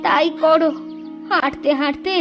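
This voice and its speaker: A woman's voice: high voice, measured pace, over music, voice pushed up. Woman doing a weary, sickly young wife. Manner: soft, tired. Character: sick young village wife, weary and gentle.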